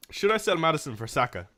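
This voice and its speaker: A young man with a Waterford Irish accent, hosting a casual fantasy football livestream, chatting with viewers and answering comments with plenty of fillers and soft laughs. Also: a little high, very animated, a little fast, slight background noise. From Ireland. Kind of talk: casual live-stream chat reading comments.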